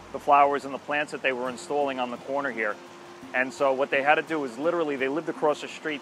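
An older man giving an informal, unscripted explanation to camera outdoors, speaking in a halting, explanatory way. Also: mid-range voice, background noise. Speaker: landscape company presenter. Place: outdoors at a street corner by a station. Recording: music behind the voice, outdoor recording. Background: music.

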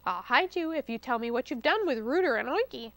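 Storybook heroine: woman as a plucky little female pig, confident and high-pitched, recorded dry. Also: expressive, a little fast, fairly bright tone, dry recording. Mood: cunning, firm. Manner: confident, sassy. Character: plucky, quick-witted young female piglet, small and bold.

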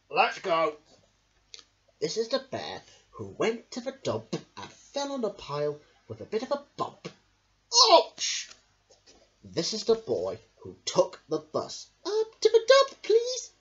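A young adult reading children's picture books aloud in an emphatic, deliberate way. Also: medium pitch. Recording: dull lo-fi camera sound.

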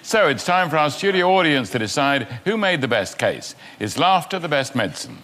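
A man's voice: fairly high pitch, big pitch swings, a little fast. A man hosting a comedy debate show, reading scripted links with dry punchlines. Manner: dry, punchline-driven delivery. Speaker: game show host. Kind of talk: scripted comic presenting. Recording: studio with loud crowd and music.

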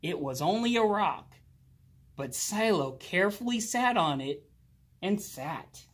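A man reading a children's picture book aloud expressively, drawing out words and pausing. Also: sits high. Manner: slow, animated, with drawn-out words and pauses. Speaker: children's storybook reader. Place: indoors, library with bookshelves.

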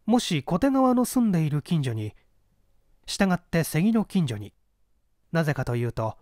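A man narrates a story in a fairly high voice. His intonation moves up and down a great deal, while the phrasing stays measured and deliberate. The sound is dry and close, as in a literary audiobook. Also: sing-song, a little mellow, no room sound. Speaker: audiobook reader, narrator of classic mystery fiction.

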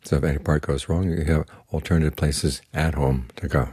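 An older man, a Buddhist monk, giving a slow, calm, plainspoken guided meditation talk. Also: very deep voice, husky, dry recording. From United States.

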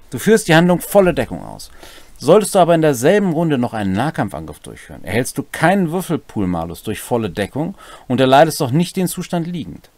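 Guy in his 40s walking thru rpg rules, pitch moves around a lot, dry close sound. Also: darkish tone, no echo. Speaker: game master and co-host explaining rulebook. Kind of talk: conversational rules explanation. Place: small home room, indoors.

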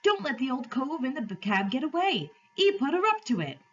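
Woman doing a cheeky, high-pitched Cockney onlooker. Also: big pitch swings, moderate pace, fairly bright tone, slightly rough, dull audio, faint music behind, exaggerated voice. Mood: shouting. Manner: cheeky, jocular. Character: cheeky male onlooker in a rowdy London street crowd.

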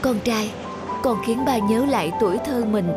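A man as a gentle, thoughtful cartoon father. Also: sits high, moderate pace, close to an everyday voice. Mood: warm, reflective. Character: gentle young architect father, thoughtful and loving. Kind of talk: animated moral tale dialogue. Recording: music behind the voice.

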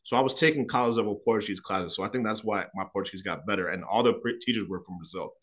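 Man, 30s, from Chicago; low-ish, rapid, animated interview guest on a video call. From Chicago, United States. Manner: fast, animated, gestures a lot while talking. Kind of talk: casual storytelling interview. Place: small room at home.

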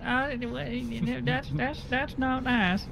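Man doing a high, stammering, timid deputy. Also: steady tone, slow, gravelly, background noise, heavily acted. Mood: meek. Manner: stammering, hesitant. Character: timid, stammering, eager male sheriff's deputy. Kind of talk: improvised comic game roleplay.

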